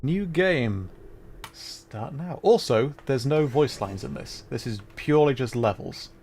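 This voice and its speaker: A man's voice: mid-range voice, expressive, a little slow, creaky, a little mellow, a little hiss or hum. A man livestreaming a video game, chatting casually to viewers and rambling as he thinks aloud while playing.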